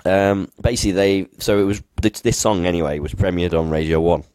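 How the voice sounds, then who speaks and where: A man co-hosting a casual chat, his voice croaky from being unwell, talking enthusiastically with many restarts. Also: sits low, fairly quick, a little mellow, no echo. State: croaky from illness. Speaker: podcast co-host.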